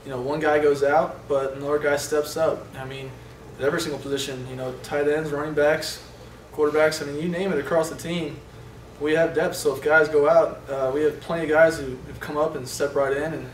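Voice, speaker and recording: A young college quarterback speaks at a postgame press conference, halting, with many fillers. His pitch sits a little high but barely moves. The microphones are set back, so the voice sounds distant and roomy with faint noise. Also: level tone. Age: young adult. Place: indoor press room in front of a sponsor backdrop. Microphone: table microphones from a short distance.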